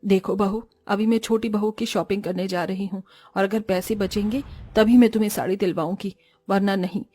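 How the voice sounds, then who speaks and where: A woman voicing a stingy, middle-aged Indian-family mother-in-law who speaks low, soft and weary. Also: fairly even intonation, normal speed, natural voice. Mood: dismissive.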